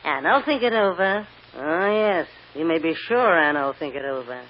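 A woman's voice: low-pitched, expressive, normal speed, slightly rough. An actress playing a domineering, suspicious female minder in an old-time radio drama, interrogating in a menacing way with condescending, falsely motherly endearments. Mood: falsely soothing. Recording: muffled old radio recording with hiss.

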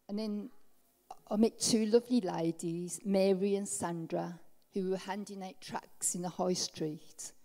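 A middle-aged woman with a warm, mellow voice shares her testimony, heartfelt and emotional, her loudness rising and falling. She speaks into a handheld mic, and a long echo hangs in the large church hall around her. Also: mid-range voice. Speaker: baptism candidate. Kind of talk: personal testimony.

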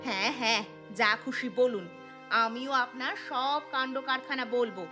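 A woman voicing a sharp-tongued, exasperated daughter-in-law in a cartoon story, scolding and indignant. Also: high-pitched, normal speed, loud music behind the voice, close to an everyday voice. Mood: defiant.

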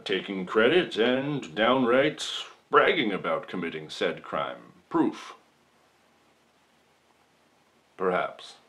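A man playing a folksy, shameless accused man who gives a mock-solemn, melodramatic courtroom monologue with long dramatic pauses, for comedy. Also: fairly low pitch, fairly bright tone, full character voice. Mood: evasive, dramatic. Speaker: podcast performer.

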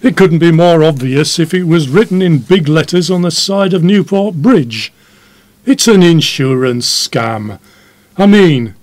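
A man's voice: a little high, a little mellow, no room sound. A man playing an indignant, disapproving police inspector in an audio drama, blunt and scolding. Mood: dismissive. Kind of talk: audio drama staged as a podcast.

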